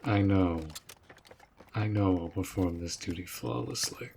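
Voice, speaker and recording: Man acting a slow, low-voiced protective orc general. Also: creaky, darkish tone, a little hiss or hum. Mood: wistful, tender. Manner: slow, measured, earnest, with sighs. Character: protective male orc general, gruff, dutiful, tender underneath. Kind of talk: scripted roleplay audio drama.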